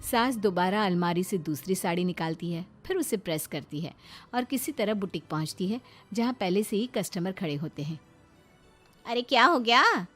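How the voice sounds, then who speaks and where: A woman's voice: fairly low pitch, expressive, moderate pace, a little mellow. A woman reading a scripted narration of a family moral story. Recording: faint background music.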